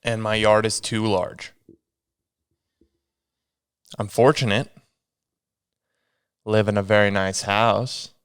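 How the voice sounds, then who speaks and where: A man's voice: lowish voice, little pitch movement, slow pace, pauses often, smooth, dry recording, clean studio sound. A young man hosting a solo podcast, rambling casually with a sniffly, stuffy nose. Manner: rambling, self-deprecating, meandering restarts. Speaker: podcast host.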